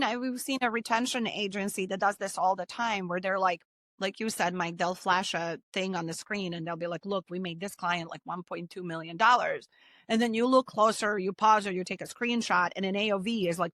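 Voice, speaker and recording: A woman's voice: mid-range voice, brisk, fairly bright tone, no room sound. A middle-aged woman co-hosting a podcast, talking casually in a blunt, skeptical way. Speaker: podcast co-host, marketer.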